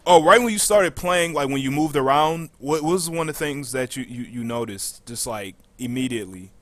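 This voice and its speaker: A man hosting a casual gaming community chat, talking in an informal, halting way. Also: mid-range voice, animated, a bit bright. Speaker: podcast host. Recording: clearly noisy background.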